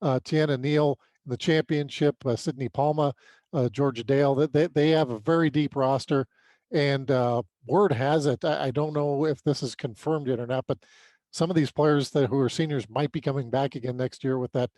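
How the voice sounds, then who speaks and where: A man's voice: medium pitch, fairly even intonation, moderate pace, darkish tone, dry recording. A man with an American accent talking through sports results in a casual way as a podcast co-host. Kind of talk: casual sports recap commentary.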